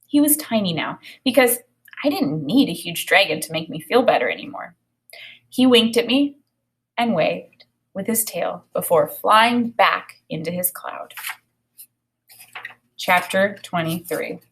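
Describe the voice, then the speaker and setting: A woman reading a children's story aloud in an expressive narrating style. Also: on the low side, unhurried, pauses often.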